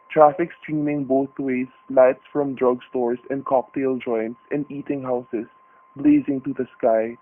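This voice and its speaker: Actor as a tense husband narrating, tinny radio. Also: male voice, mid-range voice, close to an everyday voice. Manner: colloquial, vivid storytelling. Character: tense, folksy husband narrating his own hostage ordeal. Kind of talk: first-person radio thriller narration. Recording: tinny, noisy narrow-band radio recording.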